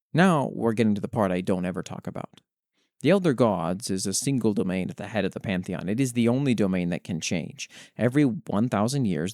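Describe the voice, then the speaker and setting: Expressive low-voiced man reading lore aloud. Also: dry recording, clean studio sound. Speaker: host reading listeners' worldbuilding submissions. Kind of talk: reading written lore aloud with brief casual commentary.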